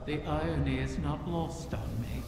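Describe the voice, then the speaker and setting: An actor playing an ancient wish-granting dragon, cunning and solemn, speaking gravely and formally in a video game, with a cavernous effect. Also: male voice, on the high side, fairly even intonation. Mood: wry, measured. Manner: slow, grave, formal, deliberate. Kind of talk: scripted video game dialogue. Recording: long cavernous reverb. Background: music.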